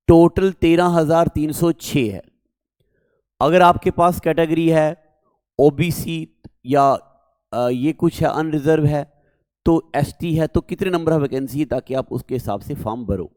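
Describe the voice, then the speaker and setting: A male coaching teacher with a sore throat, speaking slowly and deliberately as he walks students through exam details in Hindi. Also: fairly high pitch, a little mellow, dry recording. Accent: North Indian Hindi. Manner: slow, deliberate, addresses listeners as dear children. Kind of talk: exam information lesson to students.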